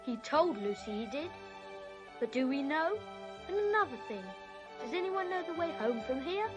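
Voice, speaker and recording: A sulky, distrustful schoolboy who argues and grumbles. The voice is high, and music sits clearly behind it in this children's fantasy drama. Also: female voice, very animated, moderate pace, dull audio. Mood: suspicious. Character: sullen, suspicious schoolboy, grumbling and contrary.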